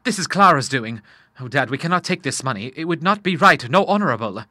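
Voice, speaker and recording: A man's voice: medium pitch, animated, a little fast, pushed and bright, no echo, clean studio sound. A man voicing an earnest young businessman in money trouble who speaks formally and with feeling.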